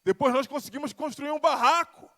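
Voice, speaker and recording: A man with a Carioca accent preaches a sermon in a church, his voice sitting high for a man. He rises and sinks in volume, stretching out the words he wants to land, and a long hall reverb trails behind him. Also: a little fast, fairly bright tone. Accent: Carioca Brazilian Portuguese. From Rio de Janeiro, Brazil. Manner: swells and drops in pitch and volume, drawing out key words. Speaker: pastor. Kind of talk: sermon with personal testimony. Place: church sanctuary.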